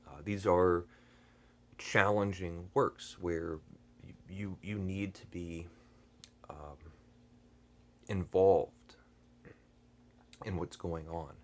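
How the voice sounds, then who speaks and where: A man with a very low, deep voice talks slowly and unhurriedly about books he loves. He is hesitant and earnest, filling pauses with ums, restarting phrases and clearing his throat often. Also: fairly even intonation. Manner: thoughtful and earnest. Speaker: book channel host. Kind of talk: unscripted book review talk.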